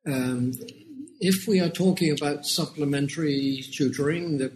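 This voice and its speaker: A man with a British accent, answering interview questions as an education researcher in a measured, deliberate way. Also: on the high side, a little mellow, slight background noise, poor audio. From United Kingdom.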